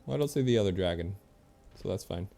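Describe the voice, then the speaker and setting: A man giving casual, low-key gameplay commentary to himself and his stream viewers. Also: expressive, fast talker, vocal fry, warm tone. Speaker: game livestreamer.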